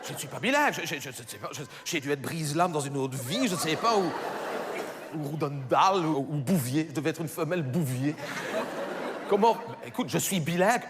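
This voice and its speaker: A man's voice: a little high, lively intonation, fairly quick, long reverb tail, noisy background, lightly acted. A man voicing a chatty middle-aged housewife with a Belgian French accent, rambling and stammering through self-corrections in a stand-up monologue. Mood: gossipy. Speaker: comedian.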